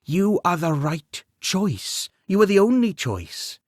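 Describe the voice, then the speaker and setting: A man voicing a gently persuasive widowed queen. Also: high voice, big pitch swings, takes it slowly, no echo, clean studio sound, pitched above the performer's own voice, heavily acted. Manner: gentle but firm persuasion. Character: grieving, shrewd, kindly widowed queen.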